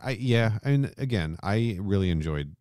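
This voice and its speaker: A man's voice: fairly low pitch, lively intonation, unhurried, darkish tone, smooth, dry recording, clean studio sound. A man hosting a podcast in a casual, informal style with some swearing. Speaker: podcast host.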